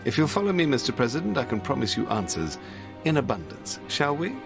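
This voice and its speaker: An actor playing a suave, unflappable British intelligence agent in a video game, with a British accent and a polite, genteel, theatrically understated manner. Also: male voice, medium pitch, huge pitch swings, fairly quick, vocal fry, over music. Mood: smooth, courteous. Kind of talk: video game cutscene voice acting.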